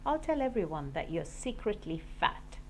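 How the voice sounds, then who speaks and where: A woman's voice: lowish voice, sing-song, moderate pace, a little hiss or hum. A woman voicing an earnest, bickering young child in a storybook, with emphatic delivery. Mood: threatening. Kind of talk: storybook character voice.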